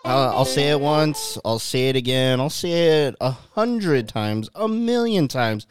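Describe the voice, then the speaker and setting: Smooth-voiced man hosting a casual podcast. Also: mid-range voice, no echo, studio quality. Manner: loose, swearing, rambling with fillers. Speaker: podcast co-host.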